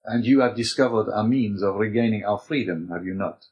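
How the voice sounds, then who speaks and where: Young male captive for storybook acted by a man: eager, honourable, formal delivery, fairly low pitch. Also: a little fast, a little mellow, lo-fi sound, natural voice. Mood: eager, persuasive. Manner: earnest, formal.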